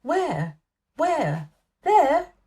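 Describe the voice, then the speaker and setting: A frail young boy from a storybook, acted by a woman, with a raised voice. He is excitable and full of wonder, exclaiming in a slightly lordly way. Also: high-pitched, drawn-out words, pitched above the performer's own voice, heavily acted. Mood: wondering, excited. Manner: gasping, exclaiming, imperious.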